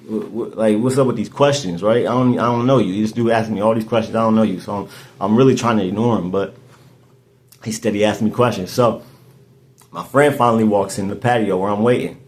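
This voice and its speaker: A man's voice: fairly low pitch, a little fast. A man, hosting a podcast and telling a story in casual chat, rambling and restarting his words often. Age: 30s. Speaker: podcast host.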